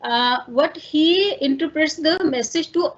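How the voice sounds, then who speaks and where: A woman's voice: sits high, unhurried, muffled recording. A woman with an Indian accent, a native Hindi speaker, giving a lecture and explaining step by step with many fillers and "fine" check-ins. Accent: Indian English. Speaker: teacher. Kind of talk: online lecture.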